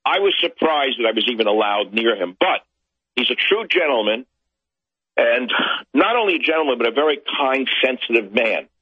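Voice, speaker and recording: Husky, indignant male pundit. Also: mid-range voice, lively intonation, moderate pace, no echo, lo-fi sound. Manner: indignant, ranting, climbs to loud outbursts. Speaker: guest commentator. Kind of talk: political talk show interview.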